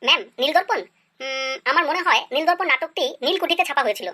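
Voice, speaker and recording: A performer voicing a cheeky schoolboy pupil who makes excuses in a deferential, pleading way in an animated comedy skit. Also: sits high, quick pace.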